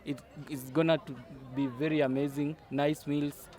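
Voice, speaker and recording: A man on a podcast panel outdoors, welcoming his listeners warmly and stumbling with fillers. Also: fairly high pitch, flat delivery, normal speed, soft background music. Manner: welcoming, hesitant with many fillers. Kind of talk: casual panel chat.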